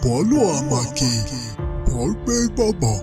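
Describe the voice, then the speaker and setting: A man playing solemn, earnest figures in a dramatic fairy-tale cartoon, speaking in a drawn-out way. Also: mid-range voice, sing-song. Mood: remorseful, earnest. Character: male dervish elder and his downcast devoted follower. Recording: loud music under the voice.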